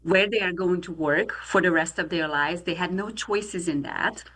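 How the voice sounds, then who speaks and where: A middle-aged woman from Romania with a Romanian accent, telling her life story as a guest in an earnest, steady way. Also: fairly low pitch, normal speed. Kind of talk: testimony interview.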